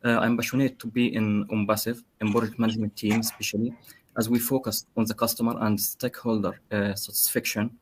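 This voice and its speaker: Man, Egyptian accent, flat intonation, slightly low, careful halting self-introduction. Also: husky. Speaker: project manager. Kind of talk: self-introduction in an online meeting.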